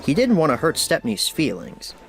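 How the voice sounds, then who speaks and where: A man narrating a children's storybook aloud with deliberate phrasing. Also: fairly high pitch, huge pitch swings, a bit bright, faint music behind.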